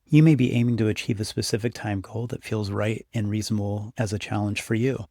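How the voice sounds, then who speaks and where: A man with a low, gravelly voice leads a guided visualization in a close, dry recording. He addresses the listener directly, giving instructions and encouragement in an unhurried, scripted delivery. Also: steady tone, warm tone, no echo. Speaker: meditation guide. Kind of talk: guided meditation, scripted narration.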